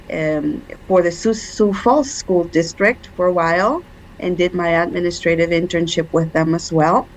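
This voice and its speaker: A woman from Mexico, speaking as a podcast guest over a video call in a measured way with frequent ums. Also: fairly low pitch, slight background noise, poor audio. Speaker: guest, school director. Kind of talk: podcast interview.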